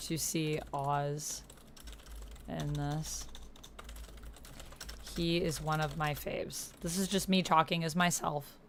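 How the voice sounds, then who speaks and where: Low voiced woman chatting while she plays a text rpg, thinks out loud, bit of typing noise. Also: flat delivery, slow pace, long pauses. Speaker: YouTuber playing a text-based online roleplaying game. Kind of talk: casual chat, reading aloud as she types.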